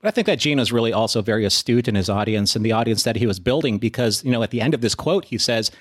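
Male American panelist, medium pitch, thoughtful explainer in an unscripted group discussion. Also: fast. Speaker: podcast panelist. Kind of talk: conversational discussion.

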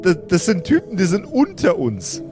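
A man's voice: high-pitched, wildly swooping pitch, normal speed, over music. A man voicing a boastful, chummy undead necromancer in a fantasy tabletop audio game, slangy, stammering and repeating himself. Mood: excited bragging. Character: boastful, chummy undead necromancer boss bragging about gadgets.

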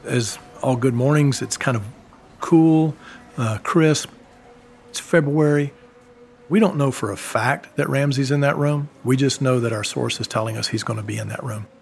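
A man, a former embassy security agent, recounting events in a measured, storytelling way with occasional fillers. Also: mid-range voice, creaky, slight background noise. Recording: music bed underneath.

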